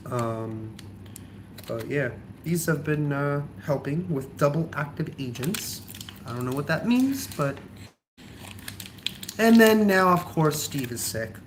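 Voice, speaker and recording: A man's voice: fairly high pitch, expressive, slow, long pauses, noisy background. A young man with a cold and a slightly nasal voice, chatting casually in a vlog, rambling with lots of ums and likes. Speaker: travel vlogger.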